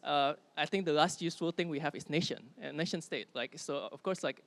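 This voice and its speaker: A man's voice: a little high, fairly quick, a bit bright. Young man musing on a panel. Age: young adult. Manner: searching for words, many fillers. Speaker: panel speaker, curator. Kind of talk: panel discussion.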